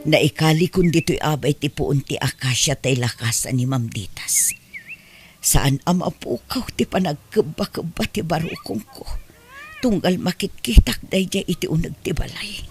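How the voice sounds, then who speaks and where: Woman acting a gentle, ailing old mother. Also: sits low, lively intonation, moderate pace, faint music behind. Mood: anxious, guilty. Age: older. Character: ailing, gentle elderly mother burdened by a guilty secret. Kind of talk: Ilocano audio drama acting. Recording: clear background noise with animal sounds.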